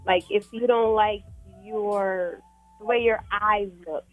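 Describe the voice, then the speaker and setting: A woman's voice: medium pitch, steady tone, takes it slowly, no room sound, narrow, tinny audio. A woman with a Trinidadian accent chatting casually as an interview guest, hesitant and often starting over. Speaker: guest, fashion model. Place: radio studio. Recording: music playing behind. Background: music.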